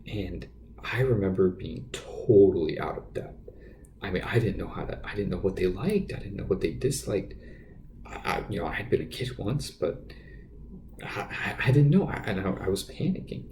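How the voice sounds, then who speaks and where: A man with a quiet, gravelly voice that sits fairly low and dark in tone. He talks unscripted as a podcast host, sharing personal stories in a hesitant way, doubling back on his words as he goes. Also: lively intonation, slight background noise, rough recording. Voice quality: naturally quiet voice. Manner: hesitant, halting, often repeating words. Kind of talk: unscripted personal storytelling monologue.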